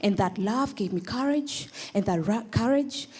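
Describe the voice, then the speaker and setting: Mellow young Tanzanian woman giving a speech. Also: fairly high pitch, expressive, breathy edge. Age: young adult. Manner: earnest, emphatic, deliberate phrasing with rhetorical repetition. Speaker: pageant contestant. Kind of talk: prepared advocacy speech on stage.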